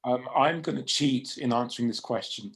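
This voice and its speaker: A man's voice: medium pitch, moderate pace, gravelly. Man hosting a casual discussion. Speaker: panel discussion host.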